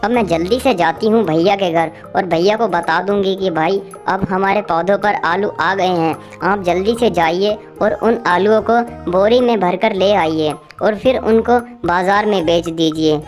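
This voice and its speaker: A woman's voice: mid-range voice, unhurried, hardly any pauses, a little mellow, close to an everyday voice. The busy little sister bird in a children's farm cartoon acted by a woman, forever cheerfully hurrying everyone along over a light music bed. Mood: delighted. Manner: eager, urging, exclamatory. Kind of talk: animated children's story dialogue.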